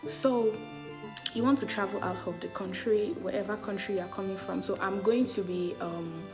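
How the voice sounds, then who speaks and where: Loud chatty Nigerian woman vlogger. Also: medium pitch, normal speed. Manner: chatty, full of "like" fillers, laughs often. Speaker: travel vlogger. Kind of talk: casual talk to camera. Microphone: camera mic, no external mic. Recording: background music.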